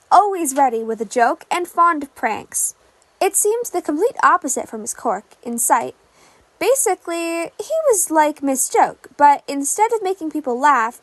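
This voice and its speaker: Female story narrator, very high register, animated intonation, dry recording. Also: no room sound. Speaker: podfic reader.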